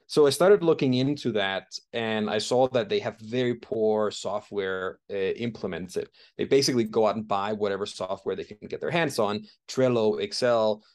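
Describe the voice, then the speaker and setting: A man from Denmark, a startup founder in his thirties, answering interview questions in a relaxed, searching way with many fillers and restarts. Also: lowish voice, normal speed, hardly any pauses. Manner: thoughtful, frequent fillers and restarts while explaining.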